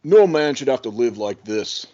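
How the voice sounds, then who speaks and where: A man acts out an appalled but teasing onlooker in an audio play. His voice is middling in pitch and wry, and the sound is muffled and lo-fi. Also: sing-song, moderate pace, mellow, close to an everyday voice. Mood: wry disgust. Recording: dull, lo-fi sound.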